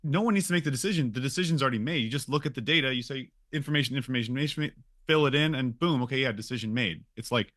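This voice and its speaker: A man's voice: medium pitch, racing, a bit bright, dry recording, clean studio sound. A man chatting casually as a podcast host, emphatic and conversational, often checking that listeners agree. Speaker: game studio podcast host.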